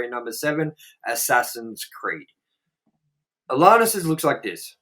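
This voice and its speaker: A man's voice: mid-range voice, a bit bright, low-quality recording. A man hosting a casual movie review show, jokey and loose, swearing freely. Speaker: show host.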